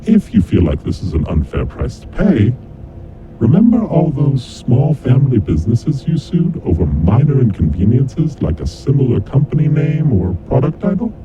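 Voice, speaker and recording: A man playing a coldly vengeful kidnapper mastermind in a horror audio drama, announcing deadly rules in a deliberate, formal, taunting way. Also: huge pitch swings, over loud music. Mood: accusing, vengeful. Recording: dull lo-fi audio with clear rumbling noise.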